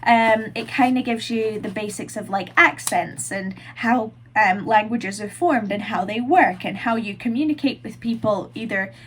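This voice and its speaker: A woman's voice: fairly high pitch, normal speed, hardly any pauses, a bit bright, slight background noise. A young woman vlogging from her room, chatting casually with plenty of fillers, her nose blocked from a cold. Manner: chatty, lots of "like" and "um". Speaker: vlogger and university student.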